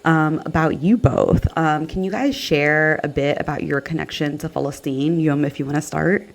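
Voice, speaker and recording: A woman's voice: deep voice, normal speed, vocal fry, a little hiss or hum. A woman co-hosting a podcast, leading a casual, upbeat conversation over a call. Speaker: podcast co-host. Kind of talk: casual video-call chat.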